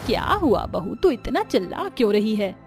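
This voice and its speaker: A woman's voice: mid-range voice, sing-song, moderate pace, darkish tone, soft background music. A woman voicing a firm older mother-in-law in a cartoon story, giving instructions matter-of-factly.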